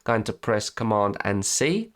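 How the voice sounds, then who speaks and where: A man with a stuffy nose and slightly croaky voice, chatting through a software tutorial and correcting himself as he clicks along. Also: lowish voice, sing-song, a little slow. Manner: chatty, restarts and self-corrects while clicking. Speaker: web design tutor. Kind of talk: screen-recorded software tutorial.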